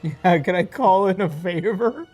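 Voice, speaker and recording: A woman's voice: on the low side, unhurried, darkish tone, faint music behind. A woman playing a tabletop role-playing game, chatting casually with the group.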